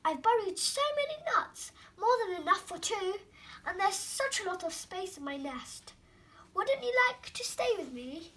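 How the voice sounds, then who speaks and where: A woman's voice: very high-pitched, big pitch swings, measured pace, fairly bright tone, pitched above the performer's own voice, exaggerated voice. A young female reader voicing an eager, friendly squirrel in a storybook, earnest and coaxing. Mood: pleading, excited.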